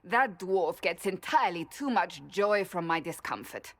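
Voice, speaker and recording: Grave, low-voiced loyal friend. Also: female voice, lively intonation, measured pace. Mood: sincere. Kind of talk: video game voice acting.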